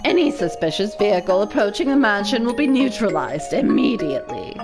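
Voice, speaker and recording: A woman's voice: mid-range voice, sing-song, a little fast, over loud music, lightly acted. A woman voicing a haughty, pompous young male aristocrat student with formal, indignant diction in a visual novel. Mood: formal, commanding.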